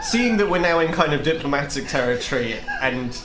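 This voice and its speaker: A man's voice: fairly high pitch, expressive, fairly quick, echoey room, music in the background, low-quality recording. A man playing a tabletop game, casually improvising and narrating what his character does.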